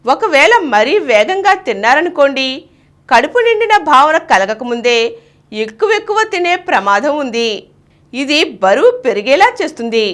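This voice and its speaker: A woman with a high, bright voice reads scripted news as a bulletin presenter. Her carries through a lively delivery, with pitch that rises and falls widely. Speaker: news reader.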